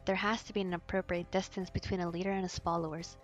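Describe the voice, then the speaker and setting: A woman's voice: lowish voice, level tone, fast talker, highs cut off, faint music behind. A woman reading a visual novel aloud and chatting casually as she plays.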